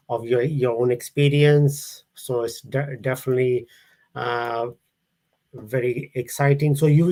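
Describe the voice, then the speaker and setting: A podcast host asks the guest questions in a halting way, restarting phrases as he goes. His voice sits at a middle pitch, with an easy, informal interview manner. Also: a little mellow. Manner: hesitant, many fillers and restarts.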